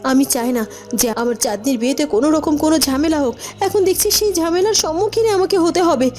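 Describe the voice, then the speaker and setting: A woman's voice: sits high, fast, a little mellow, faint music behind, close to an everyday voice. A woman voicing an anxious, protective queen mother in a cartoon, scolding with agitated, repeated questions. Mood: worried, scolding. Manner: agitated, repetitive, reproachful questioning. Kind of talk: melodramatic cartoon acting.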